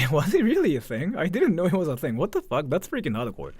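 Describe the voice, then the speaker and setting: A young male streamer with very lively, swinging intonation gives off-the-cuff reactions. He speaks in loose slang, often doubling back on his words, and the sound is close and dry. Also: on the high side, fast talker, slightly rough, no room sound. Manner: slangy, hesitant, repeats himself with frequent "like". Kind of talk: casual live reaction commentary.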